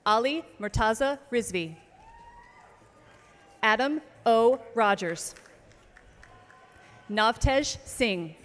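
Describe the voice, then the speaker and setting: A woman reading out graduate names at an outdoor commencement, slow and measured, over a PA system. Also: a little high. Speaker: name reader at commencement. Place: outdoor ceremony stage. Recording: long reverb of outdoor PA.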